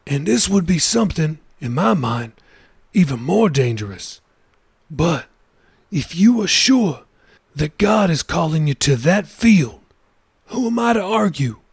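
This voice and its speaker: Gravelly, husky older pastor in a storybook reading, a higher voice, gentle and measured, as a male narrator puts him on, dry close sound. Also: sing-song, no room sound, full character voice. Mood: counseling.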